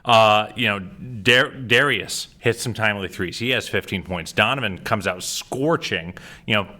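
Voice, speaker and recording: A man's voice: lowish voice, a little slow, sharp tone. A man co-hosting a sports podcast, his voice a little strained from cheering, talking casually and with lots of hesitations. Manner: casual, with frequent uh fillers and restarts. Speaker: podcast co-host.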